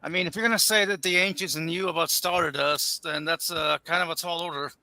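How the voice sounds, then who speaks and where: Bright, smooth, slightly high male caller. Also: steady tone, brisk, no room sound. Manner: self-deprecating, joking. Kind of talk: casual debate on a call.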